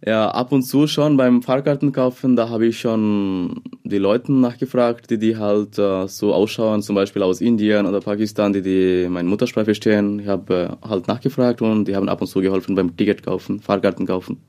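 A young man from Bangladesh, a second-language speaker, answering interview questions haltingly with many fillers. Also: lowish voice, fairly even intonation, warm tone. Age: young adult.

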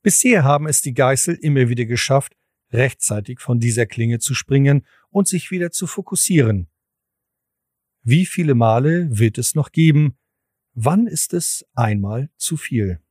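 A man narrating an audio drama in a formal, deliberate, literary storytelling manner. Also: mid-range voice, lively intonation, a little mellow, no room sound, clean studio sound.

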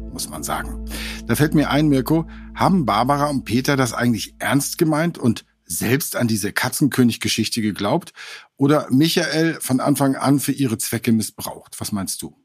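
A man's voice: medium pitch, lively intonation, moderate pace, faint music behind. A man hosting a true-crime podcast, talking through a court case in a conversational, slightly wry way. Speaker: podcast host.

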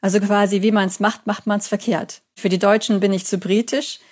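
A woman's voice: mid-range voice, little pitch movement, moderate pace, darkish tone. A German woman in her thirties, a psychology lecturer, answering an interview in a chatty way and telling stories with quoted examples.

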